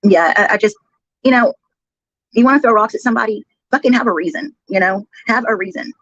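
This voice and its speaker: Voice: medium pitch, brisk, a bit bright, no room sound. A livestreamer talks casually in a chatty, sarcastic way, with swearing thrown in. The sound is close and dry, slightly dull and lo-fi. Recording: dull lo-fi replayed livestream audio.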